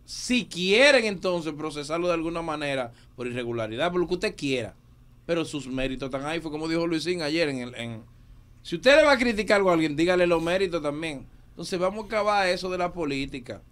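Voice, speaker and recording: A man's voice: fairly high pitch, animated, brisk, a bit bright. A man from the Dominican Republic co-hosting a radio talk show, giving earnest, emphatic political opinions into a studio mic. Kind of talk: casual political chat. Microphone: studio mic with headphones.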